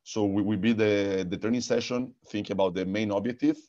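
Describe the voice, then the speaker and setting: Italian guy, very low voice, stumbles and repeats himself a lot, kinda lo-fi sound. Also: fairly even intonation, fairly quick, dark tone. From Italy. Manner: hesitant, many repeated words and restarts. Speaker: guest, futsal coach and technical director. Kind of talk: casual interview answers.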